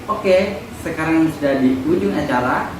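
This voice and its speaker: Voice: medium pitch, moderate pace, crowd noise. An interview host asking questions casually and prompting the guest with short acknowledgements. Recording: echoey room from far off, noisy.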